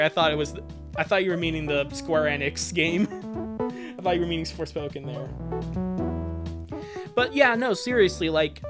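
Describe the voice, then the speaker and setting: A livestream host chatting casually and reacting to viewers in an exasperated, rambling, sweary way. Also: medium pitch. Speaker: livestream host reading fan fiction aloud.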